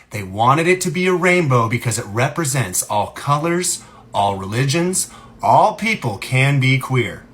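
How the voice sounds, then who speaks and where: A man's voice: medium pitch, a bit bright, husky. A genderqueer man, a content creator, talks to camera in a sassy, emphatic, lecturing way. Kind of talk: casual talk to camera.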